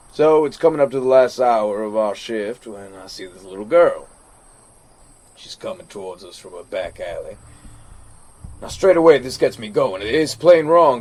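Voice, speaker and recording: A man's voice: medium pitch, lively intonation, darkish tone, natural voice. Man acting a plain-spoken war veteran. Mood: tense, storytelling. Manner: reflective, conversational, halting pauses, plain-spoken. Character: weathered American army veteran, once a hot-tempered youth, earnest. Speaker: actor in a fiction audio drama. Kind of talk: dramatic first-person monologue, audio drama.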